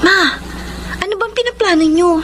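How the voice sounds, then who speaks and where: An actress playing an anxious, bewildered young daughter in a radio drama. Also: female voice, very high-pitched, moderate pace, background noise. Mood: bewildered, alarmed.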